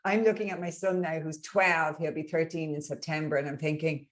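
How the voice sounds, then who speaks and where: Low-voiced Irish woman, upbeat coach. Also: fairly even intonation, a little fast. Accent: Irish, Dublin. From Ireland. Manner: upbeat, earnest, motivational. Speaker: podcast guest, coach. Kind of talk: conversational interview.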